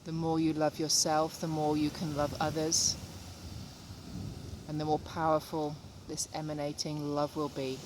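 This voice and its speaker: Female meditation guide, mid-low register for calm phrasing, very even pitch, gentle and measured with pauses, clear ambient noise behind.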